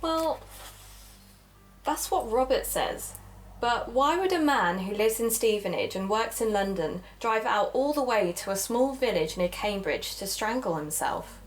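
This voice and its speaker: A woman's voice: fairly high pitch, animated, unhurried. A woman playing a keen young amateur podcaster in an audio drama, chatty and explanatory in manner. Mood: curious. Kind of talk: naturalistic audio drama dialogue and narration.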